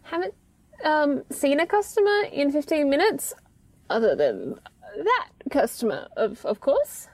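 High-voiced, nervous young-sounding shop worker. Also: female voice, very animated, measured pace, no echo, exaggerated voice. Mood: uneasy, rambling. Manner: hesitant, rambling, apologetic. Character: nervous female supermarket night-shift worker, politely rambling. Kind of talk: naturalistic audio drama monologue.

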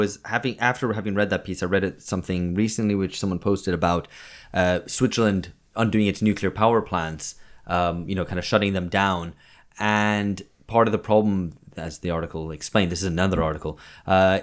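A man hosting a podcast, explaining a political point in a step-by-step way. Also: sits low. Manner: explanatory, builds his argument step by step. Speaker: podcast host. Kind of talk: casual political discussion.

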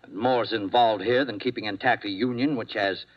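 An actor playing a nervous, fussy doctor in 1950s radio drama, speaking hesitantly and formally. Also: male voice, lowish voice, normal speed, natural voice. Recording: muffled old radio broadcast.

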